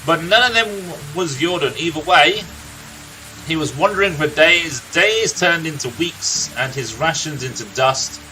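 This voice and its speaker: Man acting grumpy villager spinning a tale, bright voice. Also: a little high, lively intonation, measured pace, soft background music, full character voice, poor audio. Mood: dramatic storytelling. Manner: grumpy, blunt, storytelling. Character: gruff, irritable older male villager telling a tale. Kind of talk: acted reading of video game dialogue.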